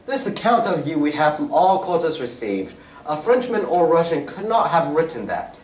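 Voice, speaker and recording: A distant, roomy stage recording of a man playing a self-assured detective in an old-style radio play. He is urbane and precise, lecturing with lively swings of pitch. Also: moderate pace. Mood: keen, instructive. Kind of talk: stage reading of a golden-age radio drama.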